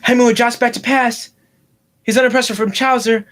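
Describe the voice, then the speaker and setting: A man playing an excited football play-by-play commentator from a make-believe game, urgent and dramatic. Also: very high-pitched, fairly quick, voice pushed up.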